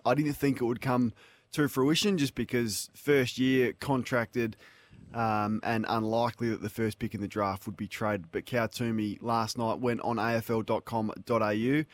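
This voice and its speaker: A man with an Australian accent hosting a sports radio show, delivering news and reading out lists briskly. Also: mid-range voice, no room sound.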